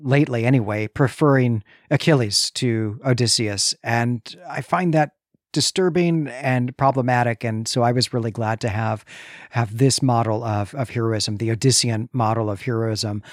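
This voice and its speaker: A man's voice: medium pitch, steady tone, normal speed, no room sound, clean studio sound. A man co-hosting a conversational film discussion in a thoughtful, articulate, analytical way. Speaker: podcast co-host.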